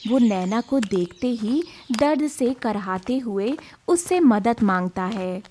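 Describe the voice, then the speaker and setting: A woman's voice: fairly high pitch, lively intonation, slow, warm tone, slight background noise. A woman narrating a scripted cartoon story as storyteller.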